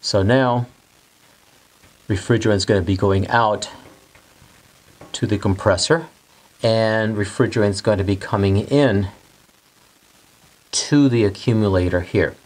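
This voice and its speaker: Male instructor, 40s, slightly low voice, patient step-by-step whiteboard explainer in a studio. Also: unhurried, pauses often, poor audio. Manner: explains step by step, repeats key points while drawing. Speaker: HVAC instructor. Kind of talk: whiteboard teaching lesson.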